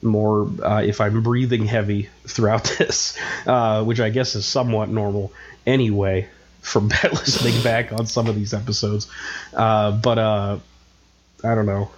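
A man's voice: fairly low pitch, flat delivery, unhurried, a little hiss or hum. Male co-host, congested and nasal, loose self-mocking chatter, muffled mic. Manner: rambling, self-mocking, many fillers. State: stuffed up with a cold. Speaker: podcast co-host. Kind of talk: casual chat.

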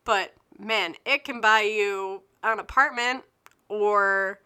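A young woman in her early twenties with a bright, clear tone, talking alone into her podcast mic. She rambles and keeps restarting her sentences, loose and chatty. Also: medium pitch, takes it slowly. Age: early 20s. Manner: scattered, self-interrupting, chatty. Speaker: podcast host. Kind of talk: rambling solo podcast chat.

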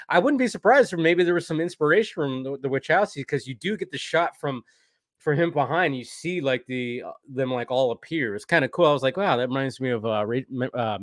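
Guy doing a casual recap, rambly, lots of like and you know, normal pitch, really dry sound. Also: lively intonation, brisk, fairly bright tone, dry recording. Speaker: co-host of a cartoon episode review stream. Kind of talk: casual chat, episode recap and commentary.

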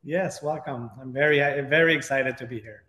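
A middle-aged man from Quebec with a French Canadian accent, a native French speaker, tells his story in a conversational interview with halting phrasing. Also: medium pitch, sharp tone. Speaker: podcast guest, real estate agent. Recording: echoey, reverberant room.

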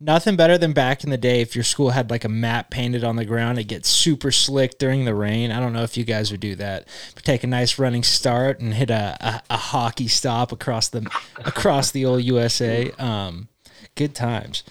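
A man's voice: medium pitch, no echo. A young man hosting a casual podcast, easygoing and jokey, tossing questions to his friends. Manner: easygoing, asks questions, quick jokey asides. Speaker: podcast host. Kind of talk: casual chat.

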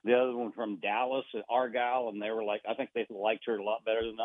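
A man's voice: lowish voice, little pitch movement, quick pace, no room sound. A man chatting casually as a radio guest, rambling with many restarts and fillers. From Argentina. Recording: tinny phone-line sound.

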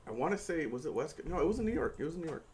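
A man's voice: a little high, sing-song, rapid-fire. A young man telling stories in rambling, hesitant casual banter. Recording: noisy recording.

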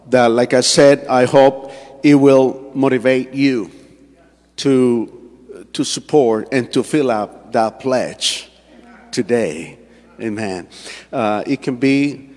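A male pastor with a Colombian Spanish accent speaks slowly at a middle pitch, pausing and restarting often. Spanish is his first language. His voice rings through a large church hall with a long echo. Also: a little mellow. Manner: halting, with many fillers and restarts. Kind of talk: church sermon. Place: church sanctuary.

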